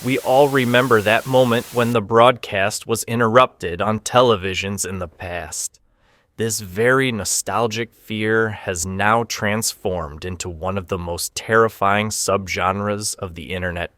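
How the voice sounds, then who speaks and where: A man narrating a documentary from a script, with a measured, ominous delivery. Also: lowish voice, a bit bright, no echo, clean studio sound.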